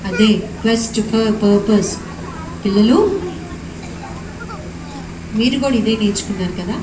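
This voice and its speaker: A woman voicing a kindly grandpa puppet in a live puppet show, gently scolding the children. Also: medium pitch, slow pace, natural voice. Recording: echoey, noisy hall.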